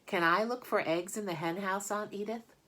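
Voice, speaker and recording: A woman's voice: mid-range voice, normal speed, pushed and bright, pitched above the performer's own voice. A woman voicing a boisterous, cheeky young farm boy in a storybook, eager and exclaiming. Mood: excited, defiant.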